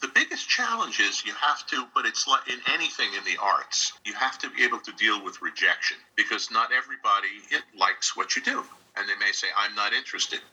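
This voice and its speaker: A man from New York talks as an interview guest on a video call. His voice is on the low side, with a very sharp, bright edge and some rasp. He answers conversationally, in plain, encouraging terms. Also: very animated, fairly quick, few pauses, poor audio. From New York, United States. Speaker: interview guest, radio drama director and instructor.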